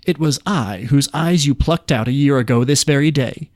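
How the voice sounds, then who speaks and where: A man reads a forgiving, level-headed young storybook hero. He speaks plainly at a medium pitch, in a very dry recording. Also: normal speed, a little mellow, dry recording, lightly acted. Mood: frank, forgiving. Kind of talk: folk tale character voice.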